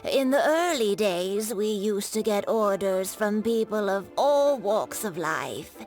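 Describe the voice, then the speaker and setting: A slow-spoken elderly craftswoman. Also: medium pitch, animated, darkish tone. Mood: welcoming. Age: older. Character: elderly woman kite maker, proud, wise craftswoman. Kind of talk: video game cutscene dialogue. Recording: music behind the voice.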